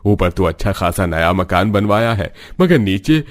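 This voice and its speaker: A man voicing a pious middle-aged father in a cartoon family story, deliberate and a little hesitant. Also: low voice, sing-song.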